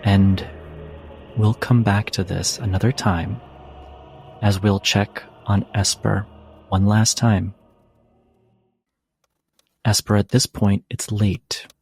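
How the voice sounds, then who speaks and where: Low-pitched male game master over music. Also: flat delivery, slow, pauses often, darkish tone. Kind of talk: narrating a tabletop role-playing game.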